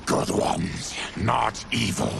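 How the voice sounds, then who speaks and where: An actor playing a gruff, honourable monstrous mutant warrior in a video game, stern but fair, with a growling voice. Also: male voice, slow pace, heavy background noise, exaggerated voice. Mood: matter-of-fact. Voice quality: deep gravelly growl. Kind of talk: video game cinematic acting. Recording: loud game music over the voice.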